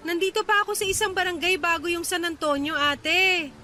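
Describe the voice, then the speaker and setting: A woman's voice: very high voice, fairly quick, sharp tone, faint music behind. A woman playing a young woman stranded at night after a bus cut its route, complaining in exasperation in a radio drama. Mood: exasperated.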